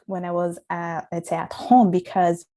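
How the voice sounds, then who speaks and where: A woman's voice: fairly low pitch, level tone, normal speed, dark tone. A young woman from Italy who is a podcast guest, telling her life story in an earnest, reflective way with frequent restarts. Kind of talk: casual interview storytelling.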